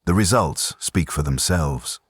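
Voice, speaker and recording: Pragmatic senior commander for a war audio story, done by a male narrator. Controlled, firm, very deep. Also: lively intonation, measured pace, husky, no room sound, studio quality, full character voice. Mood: probing.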